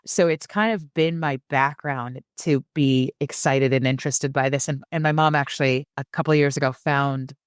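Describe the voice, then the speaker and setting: A woman's voice: a bass voice, steady tone, moderate pace, hardly any pauses, clean tone, no room sound, clean studio sound. A woman who grew up in San Francisco and Germany, a podcast guest talking casually and enthusiastically, often repeating words as she starts a phrase. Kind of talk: casual interview talk.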